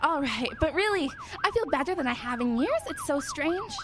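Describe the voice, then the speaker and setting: A woman's voice: sits high, wildly swooping pitch, moderate pace, very noisy. A woman playing a bewildered, easily embarrassed churchgoer in a radio drama. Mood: embarrassed, grateful.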